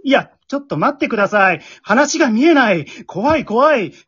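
A baffled teenage schoolboy played by an amateur performer in a comic radio play, blunt and incredulous. The pitch jumps around in lively reactions. The recording is a little dull and lo-fi. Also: slightly rough. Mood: startled, baffled. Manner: incredulous, quick deadpan retorts. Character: bewildered, blunt male high school student, straight man. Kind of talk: amateur comedic radio drama acting.

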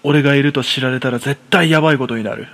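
A man playing a jittery, self-deprecating recluse in his thirties, narrating a first-person horror story for a radio drama in rough, colloquial speech. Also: mid-range voice, fast talker, fairly bright tone, natural voice. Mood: frightened.

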